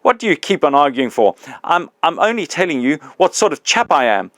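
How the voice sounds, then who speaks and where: A blustering big male ghost, put on by a male narrator raises his voice in coarse, self-righteous complaint. His pitch climbs above an everyday male voice and he sounds loud and indignant. The sound is dry and close, with no room around it. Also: sing-song, unhurried, no room sound. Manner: loud, indignant, coarse working-class speech. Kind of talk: audiobook reading.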